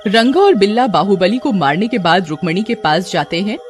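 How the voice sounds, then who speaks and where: A woman's voice: medium pitch, lively intonation, moderate pace. A woman narrating a dramatic scripted story. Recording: music under the voice. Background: background music.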